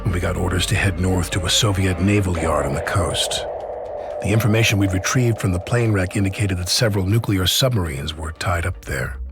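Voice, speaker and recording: Veteran soldier narrating a war game: deep, measured, weary, loud score underneath. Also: male voice. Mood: grave, reflective. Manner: measured, matter-of-fact. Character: weary, reflective male soldier recounting past missions. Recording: loud music behind.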